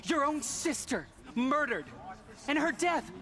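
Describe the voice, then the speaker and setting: An outraged agitator demands vengeance in a high-pitched voice. It is dramatic video game acting, set against loud ambient noise. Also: big pitch swings, slow pace, exaggerated voice. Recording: loud noisy street ambience.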